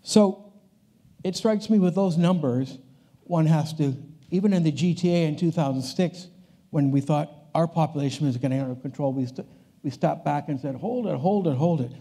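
Older male keynote speaker, deep mellow timbre, casual lecture delivery that circles back on its phrases. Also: moderate pace. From Canada. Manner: conversational, restarts phrases, walks the stage. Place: large conference hall stage.

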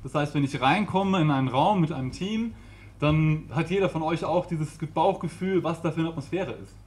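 A man's voice: on the high side, normal speed, a little mellow. Chatty man with a handheld mic. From Rhineland, Germany. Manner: chatty, laughs often, gestures. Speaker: facilitator giving a talk. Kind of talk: informal live talk. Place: small brick-walled event room with audience.

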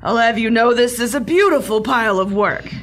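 A woman's voice: fairly high pitch, fairly quick, slight background noise, lightly acted. A woman playing a brassy, wisecracking 1940s secretary in a comedic audio drama, brusque and snippy. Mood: sarcastic.

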